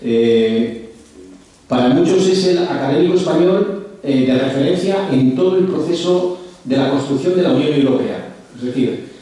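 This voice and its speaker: A man's voice: a little high, lively intonation, moderate pace, mellow, recorded from a distance. Hesitant man introducing a lecture. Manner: hesitant, many fillers and restarts. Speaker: lecture presenter. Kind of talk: formal introduction at a public lecture. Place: large echoey lecture hall. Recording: echoey hall, speaker off-mic.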